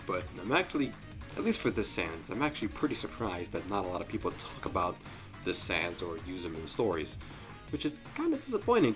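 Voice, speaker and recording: A man giving a casual, jokey review commentary as the host, with animated delivery and frequent asides. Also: fairly low pitch. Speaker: host of a fan story review show. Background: music.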